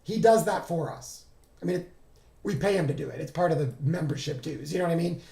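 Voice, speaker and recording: A man hosting a trading walkthrough who talks casually and thinks aloud, halting and correcting himself, and is losing his voice. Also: fairly high pitch, vocal fry, mellow, echoey room. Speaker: trading streamer. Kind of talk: casual screen-share walkthrough.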